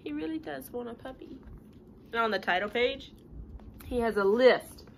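A woman's voice: on the high side, lively intonation, moderate pace, gravelly. A woman in her thirties reading a picture book to children, chatty and sing-song, with casual asides that explain things simply. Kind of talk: animated read-aloud with casual asides. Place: living room at home. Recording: home recording with light room noise.

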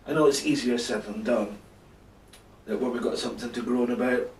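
A man in his thirties telling a children's Bible bedtime story with drawn-out emphasis, seated indoors. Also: mid-range voice, a little hiss or hum. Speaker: storyteller for a church. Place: indoor room, seated before a backdrop. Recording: roomy, echoing, distant microphone.

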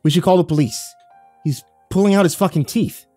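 An alarmed young man, read by a male storyteller, speaks urgently and swears. His voice rises higher and louder than the narration, warm and dry. It belongs to a spoken horror story. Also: a little fast, no echo, soft background music. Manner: urgent, cursing.

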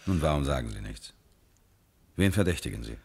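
Very deep, husky police commissioner. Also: male voice, big pitch swings, heavily acted. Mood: calm, probing. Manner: measured, deliberate questioning. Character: calm, probing male police commissioner from Paris. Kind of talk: German radio crime drama acting.

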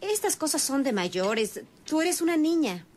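A woman's voice: high voice, very animated, measured pace. A woman playing a protective, worried mother figure, firm and explaining, in a radio soap opera.

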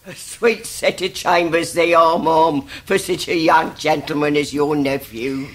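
A woman's voice: low-pitched, steady tone. An actress playing a deferential, colloquial English landlady in a radio drama. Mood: obsequious. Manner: slow, obsequious, colloquial.

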